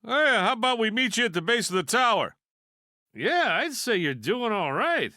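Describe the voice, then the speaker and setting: A man's voice: high-pitched, big pitch swings, moderate pace, pushed and bright, no echo, clean studio sound, exaggerated voice. A man voicing a wisecracking toy piggy bank in a video game, a brash know-it-all with a sardonic, folksy delivery. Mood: wry, casual. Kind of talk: video game character voice lines.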